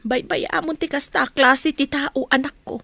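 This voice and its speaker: A woman with an Ilocano accent playing a gentle, moralizing village mother in a radio drama. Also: sits high, steady tone, moderate pace, creaky, no room sound, heavily acted. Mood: gently advising.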